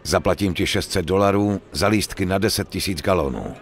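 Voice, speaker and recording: Deep-voiced, cool, terse mobster. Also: male voice, faint music behind. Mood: businesslike, stern. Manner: terse, distrustful, authoritative. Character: cool, businesslike male mobster giving out jobs. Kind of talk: dubbed video game cutscene acting.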